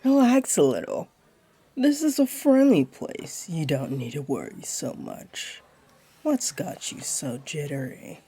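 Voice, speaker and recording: A woman playing a flirty, teasing, laid-back jaguar beastling in a roleplay audio, with a deep, breathy, husky voice and a playful, soothing delivery. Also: sing-song, slow pace, mellow, exaggerated voice. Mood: teasing, reassuring. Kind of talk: roleplay audio acting, addressed to one listener.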